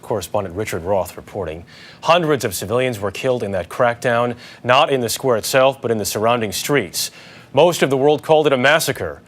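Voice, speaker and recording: A middle-pitched man serves as news anchor. He delivers scripted broadcast narration in a formal newsreading style. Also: brisk.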